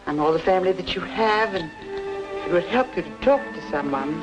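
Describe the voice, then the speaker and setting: A woman's voice: fairly low pitch, animated, a little fast, music in the background, close to an everyday voice, poor audio. A woman playing a concerned, motherly elderly aunt in an old-time radio noir drama. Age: older.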